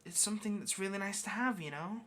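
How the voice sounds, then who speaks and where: Someone acting as a lonely clingy demon in a cage, halting n coaxing, medium pitch, asmr roleplay. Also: fairly bright tone, close to an everyday voice. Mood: grateful, gentle. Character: lonely, pleading sealed demon, clingy and affectionate. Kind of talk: ASMR roleplay, intimate one-sided conversation.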